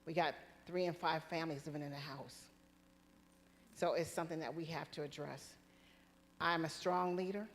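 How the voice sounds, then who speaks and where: Low gravelly woman giving a direct pitch. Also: big-room sound, slight background noise. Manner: direct, plain-spoken. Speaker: city council candidate. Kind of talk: campaign speech at a forum. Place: community forum hall.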